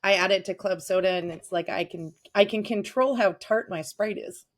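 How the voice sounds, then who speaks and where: A woman's voice: medium pitch, normal speed. A talkative, enthusiastic woman chatting casually as a guest on a podcast. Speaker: podcast guest, avid reader.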